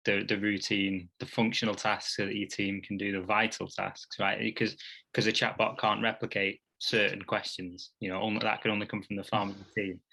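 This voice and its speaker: Male host, fairly low pitch, bright forceful tone, creaky, emphatic, casual podcast discussion. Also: level tone, brisk, rough recording.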